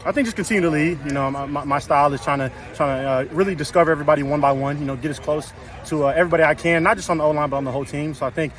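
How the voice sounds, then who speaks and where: A young man, a college offensive lineman with a loud, carrying voice, answering interview questions outdoors after practice in a rapid, repetitive way full of fillers. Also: a little high. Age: young adult. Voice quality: loud voice that carries. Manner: rapid, filler-heavy, repeats words. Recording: outdoor media scrum with crowd chatter.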